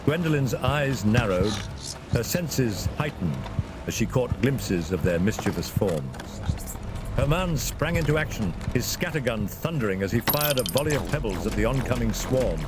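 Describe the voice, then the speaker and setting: Animated male fantasy story narrator. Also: medium pitch, moderate pace, over music. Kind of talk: dramatic scripted fantasy narration. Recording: clear vehicle and ambience noise behind.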